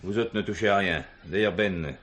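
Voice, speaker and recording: An actor playing a shrewd, authoritative police commissioner in a French radio crime drama, speaking in a commanding, deliberate way. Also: male voice, low-pitched, fairly bright tone. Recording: noisy 1970s radio drama recording.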